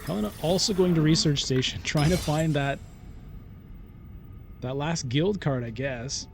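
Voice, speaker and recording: A man giving casual game commentary as a let's-play host, thinking aloud in a halting, deliberating way and often questioning himself. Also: fairly high pitch, gravelly, soft background music. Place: solo recording of a digital board game playthrough.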